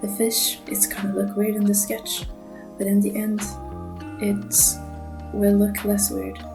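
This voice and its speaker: A young woman with a non-native English accent gives a casual, nervous voiceover over her drawing, speaking in a monotone and mumbling a little, with frequent small laughs. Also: medium pitch, unhurried, vocal fry. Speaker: art channel creator. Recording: music playing underneath.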